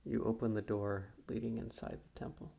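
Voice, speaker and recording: A calm, quietly condescending psychiatrist played by a man guides a hypnotic visualization in an ASMR roleplay. His lowish voice is soft and crackles with vocal fry. He speaks slowly and deliberately, with very little movement in pitch. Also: exaggerated voice. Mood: hypnotic, probing. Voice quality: soft, breathy, gravelly.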